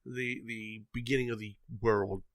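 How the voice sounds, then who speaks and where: A man's voice: on the low side, steady tone, unhurried, no echo. A man co-hosting a podcast, mixing casual, irreverent banter with news items read aloud in a sarcastic way. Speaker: podcast co-host.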